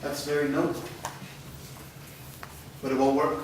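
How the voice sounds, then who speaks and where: A man's voice: on the high side, little pitch movement, a little slow, noisy background, natural voice. Man reading a smug controlling stepfather, echoey room, distant. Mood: menacing. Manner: smug, threatening. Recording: roomy echo, far from mic.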